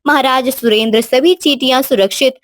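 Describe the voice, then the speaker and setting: A woman's voice: sits high, brisk, dry recording. A woman reading scripted narration for a moral story as the storyteller.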